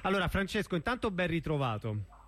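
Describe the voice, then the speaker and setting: Higher-pitched male radio host, dry sound. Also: big pitch swings, a little fast, a bit bright, no room sound. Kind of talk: informal live radio interview.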